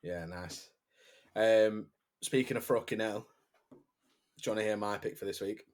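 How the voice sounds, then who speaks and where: A man's voice: lowish voice, steady tone, moderate pace. A man co-hosting a casual podcast, joking, swearing often and laughing a lot. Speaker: podcast co-host. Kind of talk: casual banter.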